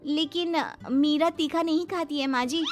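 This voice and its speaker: Woman as high-pitched, exasperated young daughter-in-law. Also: steady tone, a little slow, soft background music. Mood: reluctant. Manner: plaintive, complaining.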